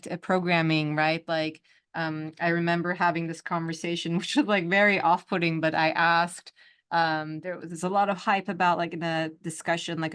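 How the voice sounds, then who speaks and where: A woman's voice: fairly low pitch, fairly bright tone, clear, resonant tone. A woman hosting a podcast who speaks casually and hesitantly, with many "um" and "like" fillers. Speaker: podcast host. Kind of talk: casual interview conversation.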